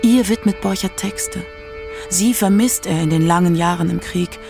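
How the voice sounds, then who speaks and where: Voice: mid-range voice, normal speed, vocal fry. A narrator reading scripted documentary narration for a radio feature. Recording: music behind the voice. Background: instrumental music.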